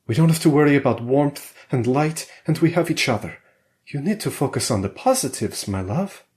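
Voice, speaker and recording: A man's voice: mid-range voice, animated, moderate pace, darkish tone, pitched above the performer's own voice, lightly acted. A man voicing a hopeful Russian scientist husband who stubbornly reassures his wife, in a spoken story. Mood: reassuring.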